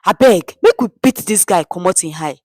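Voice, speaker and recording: A woman's voice: lowish voice, animated, normal speed, warm tone, no room sound, clean studio sound. A woman voicing a menacing male street thug in a story, barking threats with a Nigerian accent.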